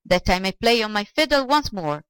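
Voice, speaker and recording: A woman's voice: mid-range voice, very animated, normal speed, a bit bright, clear, resonant tone, dry recording, voice pushed up. A woman voicing a cheerful, stubborn male servant in a storybook, speaking plainly and firmly. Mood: entreating. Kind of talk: storybook character voice.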